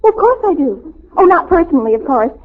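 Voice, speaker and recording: A woman's voice: high-pitched, big pitch swings, fast. A woman playing a gushing, dreamy, star-struck teenage girl in 1940s radio comedy style, flustered and melodramatic. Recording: old narrowband radio recording.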